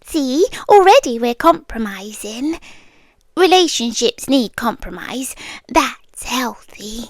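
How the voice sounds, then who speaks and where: A woman playing a soft-spoken obsessive girlfriend. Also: fairly high pitch, big pitch swings, slow, no room sound, full character voice. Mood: calm doting. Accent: British. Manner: soft-spoken, drifting into whispers, gently unsettling. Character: obsessive young woman girlfriend, calm, doting and possessive. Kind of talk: ASMR roleplay.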